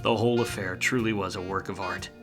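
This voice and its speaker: An actor playing a wry, world-weary hardboiled private detective in a 1940s film noir radio play, full of slang. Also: male voice, sits low, steady tone, fast talker, music in the background. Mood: wry, reflective.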